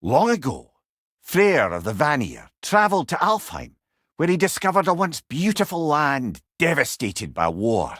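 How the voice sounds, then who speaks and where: A man's voice: fairly high pitch, huge pitch swings, unhurried, fairly bright tone, no echo, studio quality, exaggerated voice. An actor playing a wise, witty old Scottish man, an avuncular storytelling companion in a video game, speaking in an articulate, wry way. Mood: informative. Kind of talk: scripted video game voice lines.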